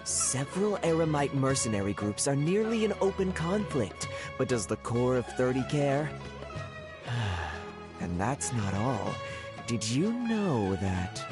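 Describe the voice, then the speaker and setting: A man's voice: medium pitch, big pitch swings, measured pace, over loud music. A man voicing an earnest, aggrieved town gossip in a game, insistent and grumbling. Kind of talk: game voice acting.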